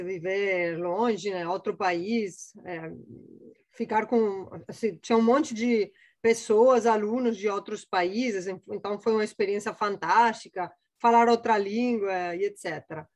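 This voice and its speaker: A woman's voice: medium pitch, a little slow. A woman from Italy in her forties speaking with an Italian accent, chatting casually as a guest scientist with many fillers and restarts. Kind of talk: casual interview answers.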